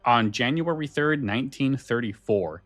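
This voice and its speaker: A man co-hosting a podcast in a casual, informal and somewhat halting way. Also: mid-range voice, fairly bright tone, no room sound, soft background music. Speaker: podcast co-host.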